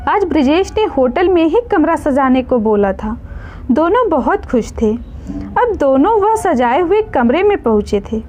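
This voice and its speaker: A woman's voice: sits high, sing-song, unhurried, darkish tone, a little hiss or hum. A woman narrating a scripted dramatic story as a storyteller.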